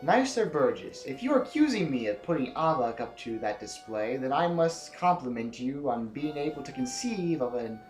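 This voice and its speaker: A man's voice: medium pitch, poor audio. A man playing a proud, defiant general in an audio drama, formal and pointed with a sardonic edge. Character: proud, principled, defiant male general of rebels.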